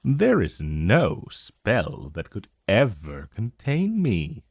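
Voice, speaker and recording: A man playing an ancient dragon in a romantic fantasy ASMR roleplay, possessive and menacing yet tender, with breathy, drawn-out, condescending endearments. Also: on the low side, wildly swooping pitch, darkish tone, dry recording, clean studio sound, heavily acted. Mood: smug, menacing.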